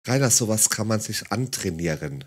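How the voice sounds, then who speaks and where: A man's voice: lowish voice, animated, normal speed, a little hiss or hum. A man giving mocking, condescending commentary on a video clip in a sarcastic tone. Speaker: satirical commentator.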